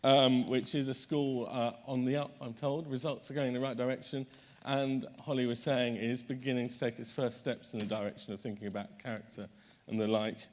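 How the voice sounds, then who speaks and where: A man's voice: medium pitch, flat delivery, a little fast. A man chairing a conference panel, introducing the speakers in a chatty way, correcting himself often and making light jokes.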